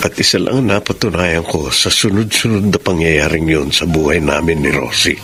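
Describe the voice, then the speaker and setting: A man's voice: deep voice, fairly even intonation, moderate pace, a bit bright. An actor playing a poor, humble husband and father in a radio drama, polite and earnest in manner. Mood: reflective. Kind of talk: Filipino radio drama acting.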